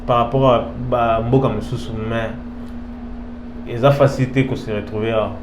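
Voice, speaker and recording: A man's voice: mid-range voice, steady tone, moderate pace. Male interview guest, Congolese French accent. Casual, hesitant, repetitive delivery that switches languages, recorded in a reverberant room with noticeable hum. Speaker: interview guest, professional footballer. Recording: echoey room, clear background hum.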